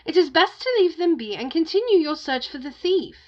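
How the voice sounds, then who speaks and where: A woman's voice: high voice, animated, a little fast, lo-fi sound, voice pushed up. A woman voicing a sly, greedy little female trickster creature in a storybook tale, lilting and wheedling. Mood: nervous flattery.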